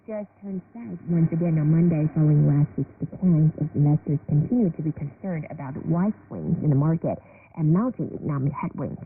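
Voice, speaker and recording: A woman's voice: deep voice, a little fast. A woman reading a scripted business news bulletin as a presenter, heard on shortwave radio. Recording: noisy, narrowband shortwave radio broadcast.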